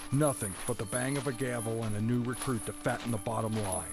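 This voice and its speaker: An actor playing a bitter, doomed prisoner who narrates a first-person audio drama with brooding, dramatic phrasing. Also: male voice, medium pitch, fairly quick, creaky, over loud music, lightly acted. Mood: bitter, resigned.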